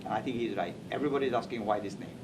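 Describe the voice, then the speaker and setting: A man from Assam, speaking natively, recalls his writing in a halting interview manner, often restarting words. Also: medium pitch. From Assam, India. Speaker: interviewee, author. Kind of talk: reminiscing oral history interview. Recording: distant mic, loud background noise.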